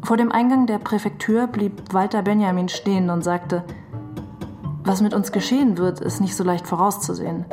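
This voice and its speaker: A woman's voice: mid-range voice, steady tone, normal speed, music in the background. A woman giving a scripted literary reading of memoir and novel passages. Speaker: reader of literary quotations.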